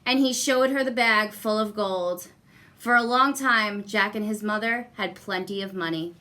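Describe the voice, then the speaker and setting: A woman reading a children's storybook aloud expressively, with deliberate emphasis and repetition for young listeners. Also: mid-range voice, bright, forceful tone. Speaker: guest story reader.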